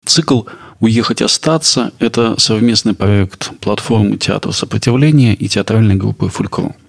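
A man presenting a culture podcast in a narrating style, with a gravelly, husky voice. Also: lowish voice, moderate pace. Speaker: podcast host.

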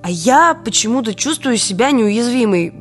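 A woman's voice: a little high, expressive, moderate pace, soft background music, close to an everyday voice. An actress playing a weary, wry woman living through wartime blackouts, in an intimate audio drama diary monologue. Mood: defiant, brooding.

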